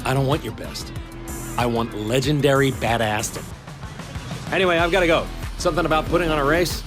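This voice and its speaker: An actor playing a confident, slick race event organizer in a video game cutscene, smooth-talking and promotional. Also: male voice, medium pitch, brisk, music in the background, lightly acted. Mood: assured, persuasive.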